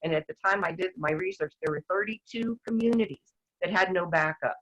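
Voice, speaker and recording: An older woman talking earnestly as a guest, rambling with restarts, her voice going hoarse. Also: lowish voice, a little fast, fairly bright tone. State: losing her voice, clearing her throat. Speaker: guest activist. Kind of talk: casual talk-show discussion. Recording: dull lo-fi sound.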